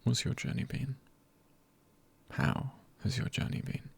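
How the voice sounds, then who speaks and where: A man speaking softly in an ASMR style, hushed and often near a whisper, giving gentle encouragement in deliberate, spaced-out phrases with a breathy, husky voice. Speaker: ASMR creator. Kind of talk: ASMR, soft-spoken encouragement.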